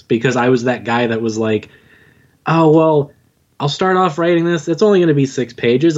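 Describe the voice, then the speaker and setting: A man's voice: mid-range voice, darkish tone. Casual, self-deprecating man, slightly muffled audio. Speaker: podcast guest, audio drama writer and narrator. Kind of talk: casual interview chat.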